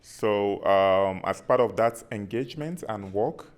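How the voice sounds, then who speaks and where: Relaxed Nigerian man, filler-heavy. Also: lowish voice, measured pace. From southeastern Nigeria. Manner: friendly, relaxed, many fillers. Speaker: visiting speaker at a community meeting. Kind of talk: casual self-introduction.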